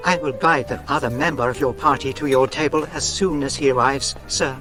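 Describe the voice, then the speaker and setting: A man's voice: mid-range voice, fairly even intonation, a little fast, pitched above the performer's own voice, lightly acted. A man voicing a polite protocol droid waiter in an audiobook, with formal, servile phrasing and an artificially inflected delivery. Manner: courteous, formal butler-like phrasing. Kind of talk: audiobook character voice. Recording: music behind the voice.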